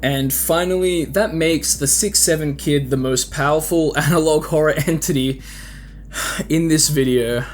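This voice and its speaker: A man's voice: on the high side, measured pace. A man hosting a video with casual scripted commentary, talking conversationally and putting rhetorical questions to the listener. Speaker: host and narrator of horror analysis videos.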